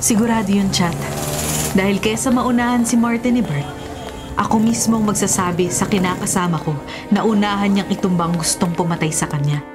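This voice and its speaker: A woman's voice: medium pitch, brisk, music in the background, lightly acted. An actress playing a defiant, vengeful woman in a radio drama, combative and emphatic. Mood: angry, defiant.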